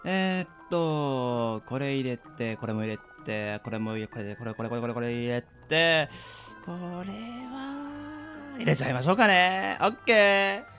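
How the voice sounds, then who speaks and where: A man's voice: medium pitch, lively intonation, unhurried, pushed and bright, faint music behind. A man playing a cocky, mischievous young man among friends in an amateur radio drama.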